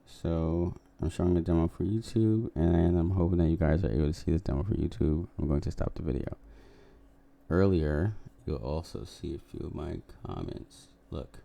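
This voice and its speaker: A man with a very low, gravelly voice and an extremely soft, dark tone. He talks casually to his viewers, walking them through a software demo as a developer would. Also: normal speed. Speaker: software developer making a video.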